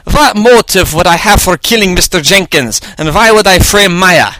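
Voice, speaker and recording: A man's voice: high voice, animated, moderate pace, noisy background, pitched above the performer's own voice. A man voicing an evil, seductive female prosecutor with a Russian accent, theatrical and taunting, in a comic story. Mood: menacing, taunting.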